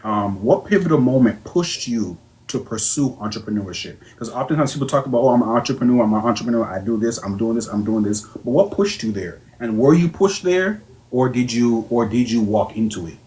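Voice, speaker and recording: A man's voice: mid-range voice, lively intonation, brisk, few pauses, a little mellow, poor audio. A man with an everyday middle-range voice hosts an interview, asking his guest questions. He keeps things engaging and speaks directly to his live chat viewers. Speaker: host. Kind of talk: interview hosting.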